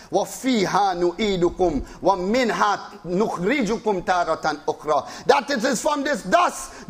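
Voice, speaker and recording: High-voiced impassioned male preacher. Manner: impassioned, rhetorical questions, slow dramatic repetition; recites Arabic verses in a rapid chant. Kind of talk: Islamic sermon.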